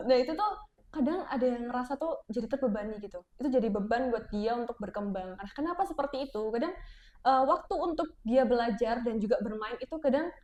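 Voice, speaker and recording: A woman's voice: fairly high pitch, lively intonation, fairly quick, a little mellow, muffled recording. A young woman hosting a podcast, chatty and agreeable, leaning on casual fillers. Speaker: student podcast host. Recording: roomy echo.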